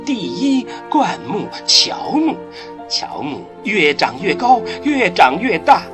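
A man giving scripted narration for a radio drama in a theatrical storytelling manner. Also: fairly high pitch. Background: music.

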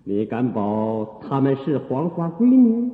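An actor playing an imperious, menacing senior palace eunuch, curt and commanding, in a Chinese period radio drama. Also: male voice, medium pitch, wildly swooping pitch, slow. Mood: haughty, demanding. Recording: tinny band, long hall echo.

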